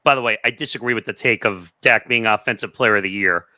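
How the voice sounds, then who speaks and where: A man's voice: on the low side, quick pace, sharp tone, no room sound. A man giving his opinions as a panelist in a casual sports debate.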